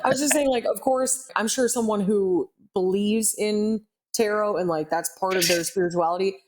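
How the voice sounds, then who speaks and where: A woman's voice: mid-range voice, normal speed, darkish tone, slight background noise. A woman co-hosting a podcast in casual chat, earnestly explaining her views and clarifying herself. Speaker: podcast co-host.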